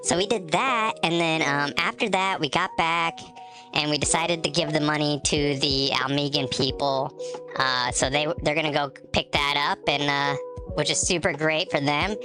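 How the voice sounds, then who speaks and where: Low-voiced, stuffy-nosed woman streamer. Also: moderate pace, music in the background. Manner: chatty, laughs often, greets viewers by name. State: stuffy nose from allergies. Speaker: game streamer. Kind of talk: casual live-stream chat.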